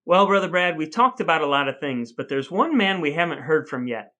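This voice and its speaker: A man's voice: on the high side, expressive, brisk, bright, forceful tone. A man co-hosting a chatty podcast in a playfully mock-formal way. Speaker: podcast co-host.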